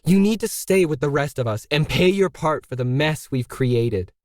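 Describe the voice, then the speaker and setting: A man's voice: fairly high pitch, animated, normal speed, no echo, natural voice. Man reading as stubborn woman artist, fiery, challenging, emphatic, audiobook style, dry sound. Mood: defiant.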